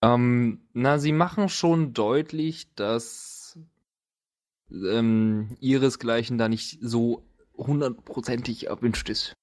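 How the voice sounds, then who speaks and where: Halting, colloquial man running a tabletop game. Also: medium pitch, a little mellow. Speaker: game master. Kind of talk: casual improvised tabletop game narration.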